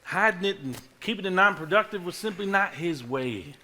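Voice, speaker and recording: A stage actor playing a level-headed younger brother in a family, measured and admonishing. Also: male voice, high voice, animated, bright, forceful tone, heavily acted. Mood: calm, firm. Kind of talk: live stage play acting. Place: theater stage before a live audience.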